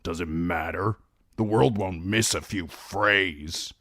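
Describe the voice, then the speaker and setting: Man doing a brutish young lord, deep, growling, sneering, complaining. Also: slow pace, fairly bright tone, dry recording, studio quality, heavily acted.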